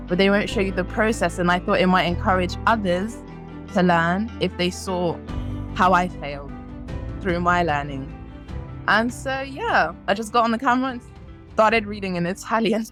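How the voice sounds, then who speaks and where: A nineteen-year-old woman, a native French speaker from France, chatting as a podcast guest, laughing often and using lots of ums. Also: mid-range voice. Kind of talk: casual interview chat.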